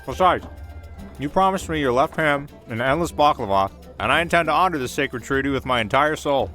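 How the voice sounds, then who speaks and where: A man's voice: mid-range voice, animated, brisk, pushed and bright, faint music behind. Man playing a boyish, easily flustered warrior duke. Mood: playful. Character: flustered, earnest, playful young warrior duke. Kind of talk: comic audio drama acting.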